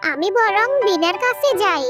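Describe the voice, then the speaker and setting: A performer voicing an excitable young fox girl in a children's cartoon, wheedling in a sing-song way. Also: very high voice, moderate pace. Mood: delighted. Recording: loud children's music behind. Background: loud tinkly children's music.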